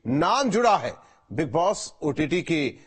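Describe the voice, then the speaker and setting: A man's voice: fairly high pitch, big pitch swings, takes it slowly, husky. A man presenting a scripted news introduction in a dramatic, emphatic manner.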